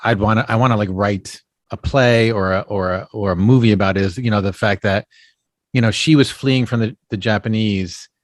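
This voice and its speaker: A man with a lowish voice tells stories as a podcast guest. He rambles along, filling pauses with "you know" and "um". The sound is dry and close, with no room echo. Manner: rambling storyteller, leans heavily on "you know" and "um". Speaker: actor and puppeteer guest. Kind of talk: casual podcast interview.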